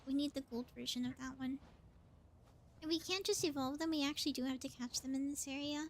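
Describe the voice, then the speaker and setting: A woman's voice: high voice, brisk. A woman livestreamer giving casual gameplay commentary, thinking aloud in a playful, exclamatory way. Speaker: livestreamer playing a game.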